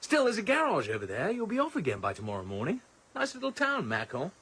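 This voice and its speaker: An actor playing a suave, cool British secret agent in a radio play, with a wry, teasing, urbane manner. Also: male voice, high voice, huge pitch swings, quick pace, a bit bright, breathy edge, full character voice. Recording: radio drama with music behind.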